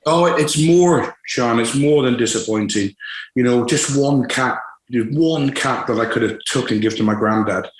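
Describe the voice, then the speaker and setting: A man's voice: mid-range voice, a little slow, roomy sound, low-quality recording. A Scottish-born man in his forties with a West Country accent, a football manager speaking frankly and reflectively in an interview, with frequent restarts and fillers. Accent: West Country English. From Scotland. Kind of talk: candid interview.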